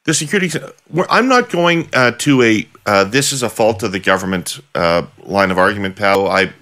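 A man's voice: lowish voice, lively intonation, normal speed, sharp tone. A middle-aged man hosting a podcast interview, pressing his guest with probing follow-up questions over a video call from a home office. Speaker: podcast host. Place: home office with bookshelves, indoors.

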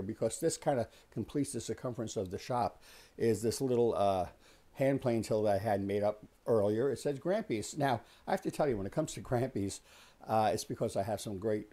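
A man in his sixties giving a casual, unhurried explanatory tour as the host, talking conversationally in a small indoor workshop. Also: on the low side, mellow. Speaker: host of a woodworking shop tour.

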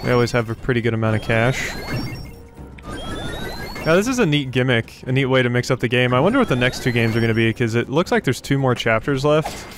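Guy doing a chill lets play, mid voice, thinking out loud, game music behind. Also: medium pitch, lively intonation, brisk. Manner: thinking aloud as he plays. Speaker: gaming video host. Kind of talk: casual let's play commentary.

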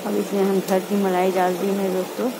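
Flat-voiced woman narrating home cooking. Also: medium pitch, brisk. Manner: calm, instructive, addresses viewers as friends. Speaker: cooking channel host. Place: home kitchen at the stove. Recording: loud kitchen noise. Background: frying sizzle and stirring pots.

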